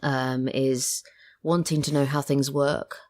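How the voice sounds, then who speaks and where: A woman's voice: rumbling low, flat delivery, takes it slowly, a little mellow. A woman with a British accent speaking as a podcast guest in casual conversation, wry and self-deprecating. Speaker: podcast guest, audio drama writer. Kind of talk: casual interview chat.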